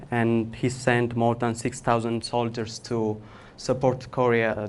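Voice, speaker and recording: A young man from Ethiopia giving a public lecture in a hall, pausing often with uh and restarting sentences. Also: medium pitch, a little mellow, slight background noise. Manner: halting, many filler uhs and restarts. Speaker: guest lecturer.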